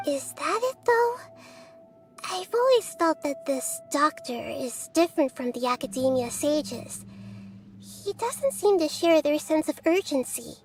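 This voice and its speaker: Casting: wise girlish deity for fantasy game, high soft voice, composed and inquisitive, music underneath. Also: expressive. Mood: pensive. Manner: measured, analytical, articulate. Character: calm, wise, inquisitive young girl-like deity. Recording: music behind the voice.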